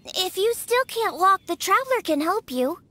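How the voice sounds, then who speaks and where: A woman's voice: very high voice, sing-song, moderate pace, pushed and bright, full character voice. A woman voicing a small, exasperated young cat-eared daughter in a video game, scolding and matter-of-fact. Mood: resigned, teasing.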